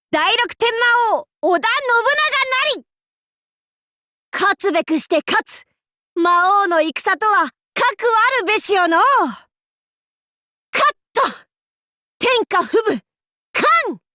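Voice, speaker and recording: Very high, bombastic demon king warlord. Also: pushed and bright, no room sound, studio quality, heavily acted. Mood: triumphant, boastful. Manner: haughty old-fashioned lordly speech, theatrical battle cries. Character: boisterous female demon king warlord, cackling, archaic and bombastic. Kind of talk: Japanese anime mobile game voice acting.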